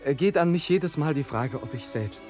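An actor playing a bashful, eager young courtier with a hesitant, formal way of speaking in a German radio drama. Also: male voice, a little high, lively intonation, normal speed, lightly acted. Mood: hesitant, earnest. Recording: prominent music under the voice. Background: music.

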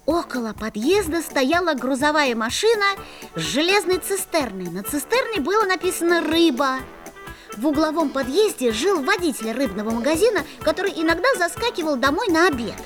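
A young schoolboy narrating a storybook audio drama. The voice is high and boyish, with animated, curious delivery and a little music in the background. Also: female voice, a little fast. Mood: storytelling. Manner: boyish, expressive.

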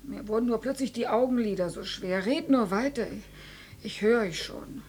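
A woman playing a mild, self-effacing dead mother who still talks, fussy and gently plaintive, in a German radio drama. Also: fairly high pitch, normal speed, lightly acted. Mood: weak, resigned.

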